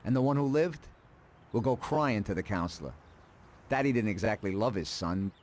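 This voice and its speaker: A man playing a calm, calculating middle-aged mob advisor in a video game, speaking in a dry, deliberate, matter-of-fact way. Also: fairly low pitch, slight background noise, natural voice. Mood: stern, dry. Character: calm, calculating male mafia consigliere, drily ruthless.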